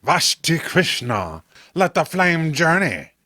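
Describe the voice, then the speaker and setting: A man voicing a sinister, scheming occult master with a formal, measured manner for a horror comic. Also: a little high, very animated, bright, forceful tone, no room sound, studio quality, full character voice. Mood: chanting. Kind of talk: horror comic read-along acting.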